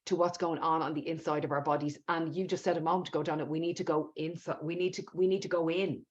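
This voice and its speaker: A woman's voice: low-pitched, steady tone, fast talker. A woman hosting a podcast interview in an emphatic, conversational way, often repeating words for stress. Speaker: podcast host. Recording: dull lo-fi audio.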